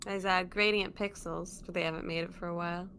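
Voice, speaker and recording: Woman co-streaming, giggly, reads game text all lively, little background hiss. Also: lowish voice, fairly quick. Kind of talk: reading game text aloud and casual chat.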